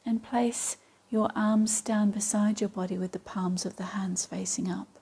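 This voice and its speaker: A woman with a soft, breathy voice leading a guided meditation in a calm, measured way with long pauses. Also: medium pitch. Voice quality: soft, breathy, partly whispery. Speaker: yoga nidra meditation guide. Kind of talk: guided meditation, slow and soothing instruction.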